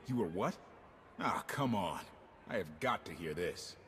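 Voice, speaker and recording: A man's voice: low voice, expressive, unhurried, a bit bright, a little hiss or hum. An actor playing a blunt, wisecracking male rebel pilot in a game, casual and sardonic. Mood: joking.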